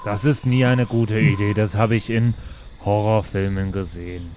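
A man's voice: lowish voice, fairly even intonation, moderate pace, darkish tone, slight background noise. A man improvising casual, flippant in-character talk as a player in a tabletop game. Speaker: role-player playing a dwarf brother.

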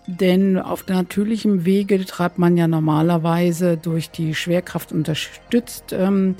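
A woman doctor giving measured, explanatory interview answers as a medical expert. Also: lowish voice, dark tone.

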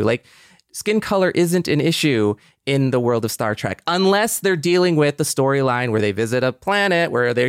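A man's voice: mid-range voice, no echo, studio quality. A man co-hosting a podcast, talking casually and thoughtfully. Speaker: podcast co-host.

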